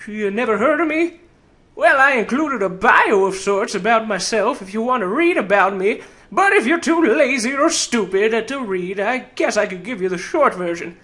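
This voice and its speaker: A man voicing a tiny, old, sarcastic treasure-hunting fantasy game creature with a weird character voice, cocky, teasing and folksy in his pitch as an announcer. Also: pushed and bright, full character voice. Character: tiny old sarcastic male keen-folk treasure hunter.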